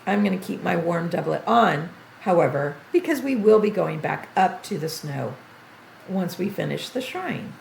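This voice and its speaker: An older woman streaming a video game tutorial, chatty and thinking aloud as she plays, her voice going a bit hoarse. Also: on the low side, animated, moderate pace, darkish tone, reverberant, a little hiss or hum, rough recording. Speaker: gaming streamer. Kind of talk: game tutorial livestream commentary.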